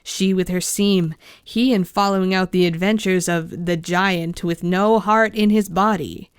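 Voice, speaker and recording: A woman's voice: fairly low pitch, fairly even intonation, unhurried, clean tone, no room sound, clean studio sound. A woman reading scripted storybook narration as the narrator of an audiobook.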